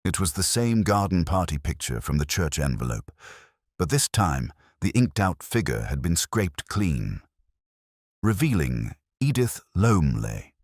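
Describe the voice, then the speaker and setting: A man narrating a mystery audiobook in a measured, literary storytelling manner. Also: sits low, sing-song, darkish tone, no room sound, clean studio sound.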